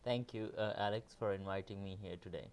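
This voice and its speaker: A middle-aged man from Pakistan, answering interview questions as a film director in a measured way with many pauses. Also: on the low side, fairly even intonation, a little mellow, smooth.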